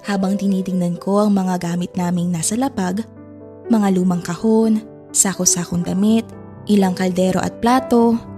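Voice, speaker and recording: A woman's voice: mid-range voice, mellow. A woman narrating a scripted drama in the first person, reflective and sombre. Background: music.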